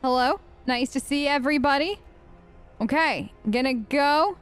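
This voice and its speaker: A woman's voice: high-pitched, unhurried, a bit bright, faint music behind. A woman hosting a let's play with casual, wry, hesitant role-play commentary, talking in character.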